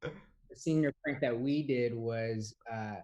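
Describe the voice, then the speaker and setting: A man's voice: mid-range voice, slow, a little mellow, dull audio. A young man from Hong Kong, a podcast co-host, telling stories in casual chat with plenty of fillers and restarts. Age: young adult. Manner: rambling storytelling with many fillers and restarts.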